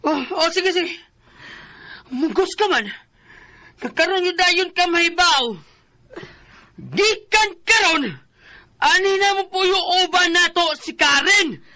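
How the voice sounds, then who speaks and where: A forceful, drunken male voice plays a callous cheating partner. He is loud and quarrelsome in classic radio-drama style, with a sharp edge. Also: extremely high pitch, slow, pauses often, exaggerated voice. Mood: callous belligerence. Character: drunk, callous, quarrelsome unfaithful husband figure. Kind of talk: Filipino radio drama acting.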